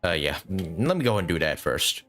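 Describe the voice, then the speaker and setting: A man's voice: low voice, big pitch swings, fairly quick, a bit bright, faint music behind. A man chatting casually as a live game streamer, rambling and thinking aloud with some mild swearing. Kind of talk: casual gaming stream commentary.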